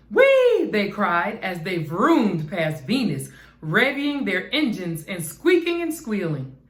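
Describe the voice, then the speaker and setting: A woman reading a children's bedtime story aloud as an expressive narrator. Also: mid-range voice. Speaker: storybook reader. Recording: echoey room.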